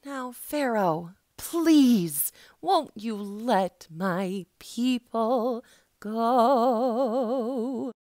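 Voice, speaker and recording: A woman voices a hesitant Moses for a kids' puppet show. The voice is on the high side and very slow, timid and pleading. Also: darkish tone, no room sound, clean studio sound.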